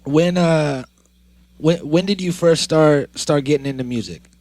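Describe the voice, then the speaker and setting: A man's voice: a little high, unhurried, darkish tone, dry recording. Man co-hosting a podcast, dry sound. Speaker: podcast co-host. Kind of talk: casual chat.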